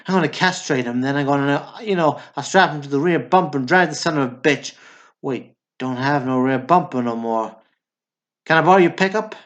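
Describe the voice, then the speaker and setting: An actor playing a hot-headed, self-pitying Texan brother in a radio drama, with dramatic, folksy outbursts. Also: male voice, on the high side, close to an everyday voice. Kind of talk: amateur radio drama acting. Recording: dull, lo-fi audio.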